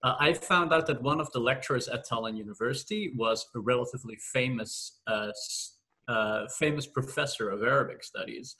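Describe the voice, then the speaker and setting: A man from the Netherlands describing his studies in a measured, thoughtful way. He speaks at a medium pitch and pauses here and there with a soft "uh". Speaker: doctoral student in theology. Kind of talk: casual interview answers.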